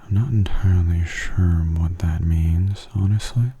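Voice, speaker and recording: A man speaks in a low, very dark and mellow voice with a strongly breathy, husky texture. His delivery is soft and gentle, often close to a whisper, as he calmly explains gameplay in ASMR style. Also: a little slow. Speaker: video game commentator.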